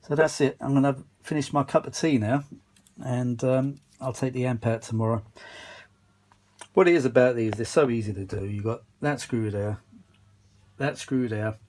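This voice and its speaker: A man's voice: mid-range voice, normal speed, a little mellow. A man giving a casual, unscripted workbench update to camera, rambling and thinking aloud with some mild swearing. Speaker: vintage audio hobbyist filming a repair update. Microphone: phone camera held while filming.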